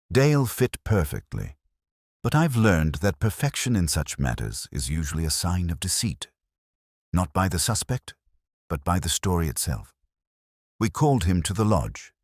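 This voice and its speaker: A man reading a scripted first-person detective story in a measured, literary and reflective way. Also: extremely low pitch, slightly rough, no echo, clean studio sound. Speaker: narrator.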